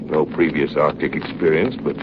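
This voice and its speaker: An actor playing an elderly, plainspoken retired naval engineer, reminiscing in 1930s radio drama narration. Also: male voice, a bass voice, fairly even intonation, moderate pace. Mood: recounting. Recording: muffled, noisy 1930s radio recording.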